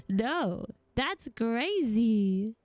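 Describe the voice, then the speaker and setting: A woman's voice: a little high, animated, very slow, a little mellow. A woman game streamer reacting casually on a livestream in a gushing, goofy, playful way. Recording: faint music behind.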